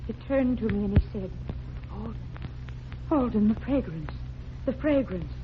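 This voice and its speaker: An actress playing a devoted, grieving secretary, mournful, solemn and protective, in 1940s radio melodrama style. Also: female voice, fairly high pitch, lively intonation, moderate pace, natural voice. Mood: mournful, haunted. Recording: noisy, muffled vintage 1940s radio recording.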